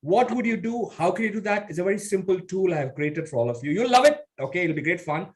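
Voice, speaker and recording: A man's voice: high-pitched, expressive, fast talker. A man hosting an online workshop over a video call, playful and teasing with attendees before he lays out the session. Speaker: workshop host and speaker.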